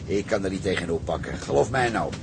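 Grumbling, sarcastic ship's cook. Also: male voice, expressive, fast talker. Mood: exasperated. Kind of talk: audio drama acting. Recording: clearly noisy audio track with rumble.

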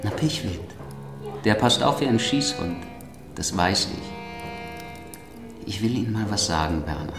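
Brooding, suspicious baron in radio drama: lowish voice, very varied pitch, blunt and confiding. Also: male voice, expressive, slow pace, pauses often, roomy sound, music in the background. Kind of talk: German radio drama acting.

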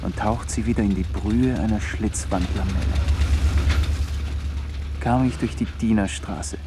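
A man's voice: fairly low pitch, measured pace, background noise. A man reading literary scripted narration in a detached, observational manner for a radio play.

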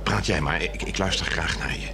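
Earnest stubborn husband in sixties radio play, low voice, a bit of hum and noise. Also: male voice, moderate pace. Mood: pleading. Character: earnest, stubborn married man. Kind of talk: 1960s radio drama acting. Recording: noisy old radio recording with hum.

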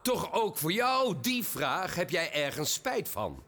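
An actor in a satirical radio play voicing a pompous, scheming juror at heaven's gate in a commanding, theatrical show-host manner. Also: male voice, on the high side, sing-song, takes it slowly, pushed and bright, full character voice.

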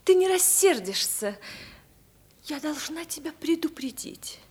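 A woman's voice: high voice, big pitch swings, measured pace, darkish tone. A woman playing an anxious, earnest younger sister in a radio play, speaking hesitantly. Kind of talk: theatrical radio drama acting.

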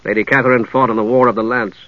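A man's voice: medium pitch, fairly even intonation, rapid-fire, no echo, natural voice. Audiobook role: courtly confident knight, voiced by a man, formal address, muffled, close dry sound. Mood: assured. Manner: formal, gallant.

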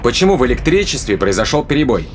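A man's voice: mid-range voice, very animated, fast talker, faint music behind, lightly acted. An actor playing a blunt, impatient male interrogator in an audio drama, probing and demanding in colloquial speech. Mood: insistent, puzzled.

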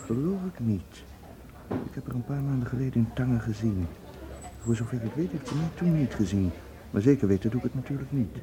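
Casting: male actor for cool, courteous secret watcher, everyday medium-pitched voice, radio play with crowd ambience. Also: fairly quick, close to an everyday voice, poor audio. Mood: guarded. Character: cool, polite bachelor working as covert watcher. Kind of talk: radio drama acting.

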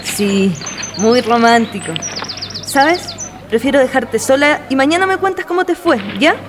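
A woman's voice: high voice, a little slow, long reverb tail, background noise. A woman acting a playful, teasing young friend in an amateur radio play, with a Chilean accent and a singsong delivery. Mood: gentle.

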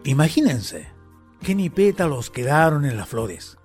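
A man's voice: a little high, sing-song, a little slow, a little mellow, faint music behind. A man with a Chilean accent narrating a radio story in a dramatic, scripted style.

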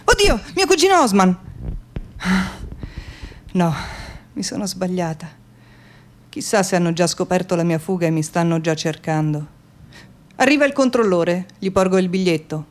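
A woman's voice: fairly low pitch, a little mellow, slight background noise, lightly acted. A woman acting an anxious, determined young runaway in a radio theatre monologue, confiding in short narrative phrases. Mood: anxious, relieved. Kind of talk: dramatic first-person monologue, radio theatre.

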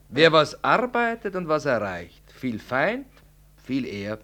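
A man's voice: fairly high pitch, big pitch swings, slow pace, a bit bright, full character voice. An actor in a radio drama, playing an outwardly amiable male doctor who talks politely, with ingratiating insinuation. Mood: defensive, insinuating.

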